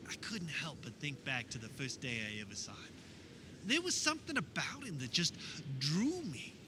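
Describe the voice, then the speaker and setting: Casting: male actor as an earnest fisherman disciple, high voice with wide swings, wistful radio-theater monologue, noisy recording. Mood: reflective, wondering. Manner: conversational, wistful storytelling with wondering pauses. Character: earnest fisherman disciple of Jesus, fondly recounting memories. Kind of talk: dramatic first-person monologue in religious radio theater.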